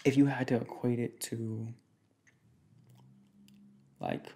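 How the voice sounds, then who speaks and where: A young man in a casual, improvised boyfriend roleplay, speaking informally in slangy, halting, rambling phrases. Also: mid-range voice, steady tone, gravelly, darkish tone. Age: young adult. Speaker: ASMR roleplay performer playing a protective boyfriend. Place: small indoor room at home.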